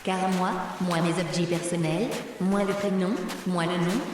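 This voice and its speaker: A woman's voice: fairly low pitch, flat delivery, a little slow, background noise, close to an everyday voice. A woman playing an uneasy, bitter young captive in an audio drama, introspective and dramatic. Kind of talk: audio drama inner monologue and dialogue. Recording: distant, very echoey, long reverb. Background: music.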